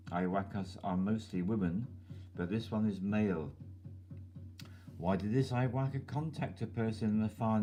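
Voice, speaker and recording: A man's voice: fairly low pitch, dark tone. A man hosting his channel, reading a listener's email aloud over music. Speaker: channel host.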